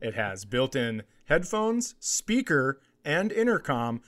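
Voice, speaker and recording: A man's voice: medium pitch, sing-song, slow pace, fairly bright tone. A man co-hosting a comedy podcast, riffing casually with sarcastic, exasperated humour. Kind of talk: casual comic chat.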